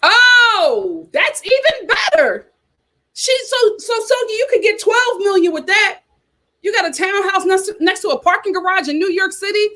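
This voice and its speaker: Young female host, sassy casual commentary, bright high register. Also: moderate pace. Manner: sassy, animated, talks straight to viewers.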